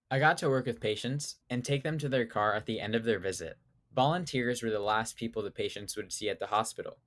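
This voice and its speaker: An eighteen-year-old young man reading a scripted personal essay in an earnest, measured way; he grew up speaking Spanish at home. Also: mid-range voice. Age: teens. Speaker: student essayist.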